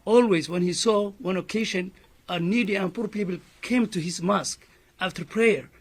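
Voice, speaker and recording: A man's voice: high voice, normal speed. A man from Somalia with a Somali accent, an imam giving an earnest press conference statement full of "you know" fillers.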